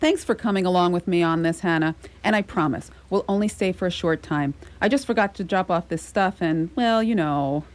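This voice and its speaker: An actor playing a clumsy middle-aged would-be superhero, muttering wryly in a radio comedy. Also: male voice, high-pitched, brisk, few pauses, natural voice. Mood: apologetic. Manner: wry, muttering, groaning self-talk. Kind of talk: live radio comedy drama acting.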